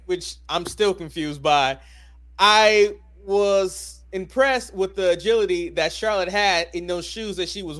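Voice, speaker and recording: High-pitched man hosting a casual podcast. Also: a bit bright. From United States. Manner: informal, slangy, steers discussion with questions. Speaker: wrestling podcast host. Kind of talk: casual sports talk.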